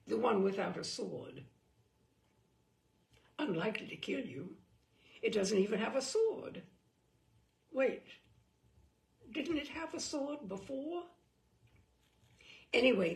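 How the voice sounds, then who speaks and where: A woman storyteller reads comic prose with theatrical, knowing relish. Her pitch swoops widely and creaks at the edges, at an unhurried pace, in a roomy, echoing space. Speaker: storyteller reading a book aloud. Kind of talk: expressive humorous narration of a mock guidebook.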